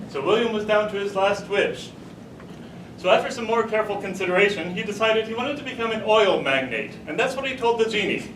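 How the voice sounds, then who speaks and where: A man giving a humorous tall-tale speech to a live audience, deliberately, pausing for punchlines. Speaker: storyteller at a speech contest. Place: room with a live audience, recorded from a distance. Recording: roomy, distant mic, rumbling noise.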